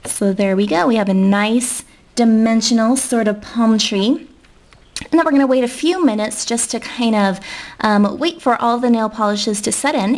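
A young American woman giving a casual, chatty step-by-step tutorial in an informal way. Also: fairly high pitch. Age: young adult. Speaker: nail art tutorial host.

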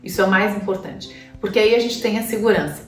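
A woman's voice: medium pitch, quick pace, distant mic. A woman in her thirties from Brazil, chatting to the camera with advice and many fillers, seated on a sofa in a living room. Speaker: pet vlogger. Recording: roomy, from off-mic, soft music.

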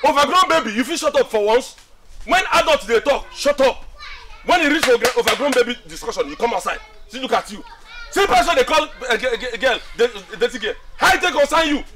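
A man's voice: very high voice, big pitch swings, quick pace. A man with a Nigerian accent playing a defensive, scolding young man in a film, speaking emphatically and repeating himself. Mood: angry, scolding. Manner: repetitive, emphatic, argumentative. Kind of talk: Nigerian film acting in Pidgin dialogue.

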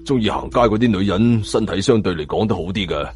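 A man with a Hong Kong Cantonese accent voicing a calm, dry, loyal male companion in an audio story. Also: lowish voice, quick pace, soft background music, voice pushed down, natural voice.

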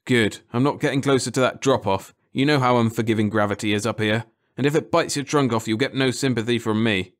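A man voicing a gruff, grumbling aquatic sergeant with a dismissive manner in an audiobook. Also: medium pitch, a little fast, hardly any pauses, natural voice. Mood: grudging. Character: grumpy, scornful aquatic sergeant with a trunk. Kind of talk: audiobook character voice.

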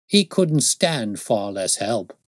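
A man's voice: fairly low pitch, very animated, takes it slowly, dry recording, studio quality. A man voicing an uneducated, defensive London police constable with colloquial, ungrammatical working-class speech in an audiobook story. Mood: amused.

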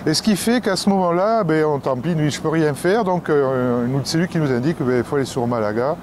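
A man's voice: on the high side, fairly quick, a little mellow. A man telling a travel story in a casual vlog, rambling with fillers and restarts. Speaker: travel vlogger. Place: outdoors and aboard a ferry. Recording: noisy outdoor recording.

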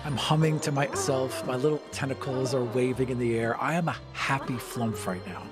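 A man with a medium-pitched voice talks as a podcast co-host and tabletop player. He banters playfully and improvises his story. Faint music sits behind him. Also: normal speed.

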